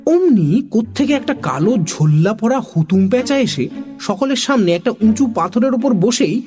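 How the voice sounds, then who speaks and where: A woman narrating a children's story in an expressive, dramatic storytelling style. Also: on the high side, normal speed, soft background music.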